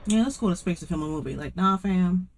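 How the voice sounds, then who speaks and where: Casual, low-pitched woman gamer. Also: fast. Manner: slangy, informal. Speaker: game streamer. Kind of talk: casual gaming chat.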